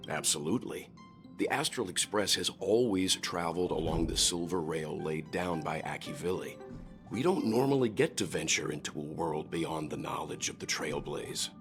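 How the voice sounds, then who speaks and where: A composed, middle-aged gentleman adventurer from a game, speaks in a low, dignified voice. He is calm and measured, with music clearly behind him. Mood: calm, quietly excited. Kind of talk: scripted game dialogue.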